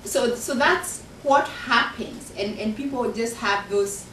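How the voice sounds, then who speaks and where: A woman's voice: a little high, slow pace, fairly bright tone, recorded from a distance, slight background noise. Kenyan woman author in an echoey room. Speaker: author and university professor. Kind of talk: talk about her book to an audience. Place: university function room. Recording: echoey room recorded from afar.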